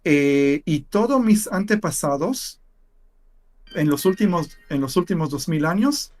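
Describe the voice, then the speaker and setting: Slow, halting man telling his story. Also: a little high, lively intonation, a little mellow. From Israel. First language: Hebrew. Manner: halting, with many fillers and repeated words. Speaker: interview guest. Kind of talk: personal testimony in conversation.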